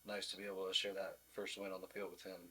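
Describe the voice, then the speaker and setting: Young man, athlete guest, low-leaning voice with little pitch movement, relaxed interview chat. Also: fast talker, a little hiss or hum, rough recording. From United States. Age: young adult.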